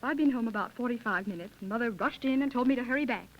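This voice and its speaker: A woman's voice: a little high, fast. An eighteen-year-old American woman answering questions as a guest in a scripted 1930s radio interview. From United States. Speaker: interview guest, contest winner. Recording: dull, hissy 1930s radio recording.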